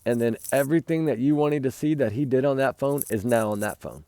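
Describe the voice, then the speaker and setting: A man's voice: medium pitch, brisk, warm tone, clean tone, dry recording. A man who is losing his voice, rambling earnestly to his viewers during a casual night talk outdoors by a campfire. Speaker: livestream host.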